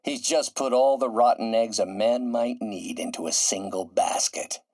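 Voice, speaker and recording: A man playing a brilliant, embittered middle-aged scientist turned villain in a video game, speaking in a theatrical, deliberate, articulate way with a husky, breathy voice. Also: on the low side, darkish tone. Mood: calculating. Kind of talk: dramatic video game monologue.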